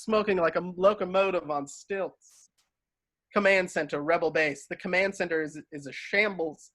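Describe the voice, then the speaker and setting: A man's voice: high voice, bright, forceful tone. A man reading screenplay stage directions as narrator of a table read.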